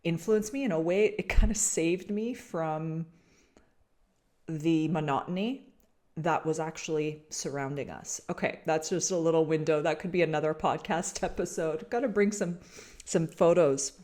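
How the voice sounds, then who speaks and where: A woman from Canada hosting a podcast, talking reflectively to her listeners about spirit and design, with a searching, halting manner full of restarts and fillers. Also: sits low, a little mellow. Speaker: podcast host.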